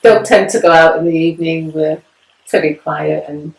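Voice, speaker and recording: A woman's voice: low voice, steady tone, normal speed, gravelly, echoey room, rough recording. A woman, introducing herself and her partner as house sitters in a light, chatty way. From England. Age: 50s. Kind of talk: casual self-introduction video.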